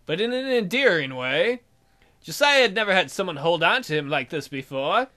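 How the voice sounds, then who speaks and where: A man's voice: high-pitched, sing-song, moderate pace, bright, forceful tone. A man hosting a let's play, reading a visual novel aloud and breaking off to add irreverent, amused comments.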